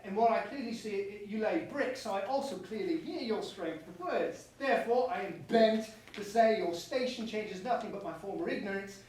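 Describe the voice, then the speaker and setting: A man acts out a pompous young prince, bitter and grand, in a verse comedy. The voice is high and theatrical, speaking formally. It comes from well off the mic in a strongly reverberant room with faint noise. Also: normal speed, few pauses, darkish tone, distant mic, natural voice. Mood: flustered, apologetic. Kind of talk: staged reading of verse comedy.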